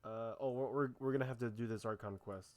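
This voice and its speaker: A man's voice: medium pitch, steady tone, fast, a little mellow. A man streaming a video game, giving casual commentary in an unscripted, musing way and often repeating himself.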